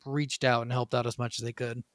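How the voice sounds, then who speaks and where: A man's voice: mid-range voice, little pitch movement, fairly quick, no echo, studio quality. A young man co-hosting a gaming podcast, chatting casually in an opinionated, sweary way. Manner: opinionated, profanity-laced. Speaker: podcast co-host.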